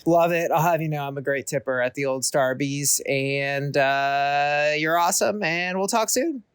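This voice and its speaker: A man's voice: a little high, measured pace, fairly bright tone, clean tone. A man hosting a call-in podcast, joking with his guest and laughing easily, then reading a post aloud. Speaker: podcast host.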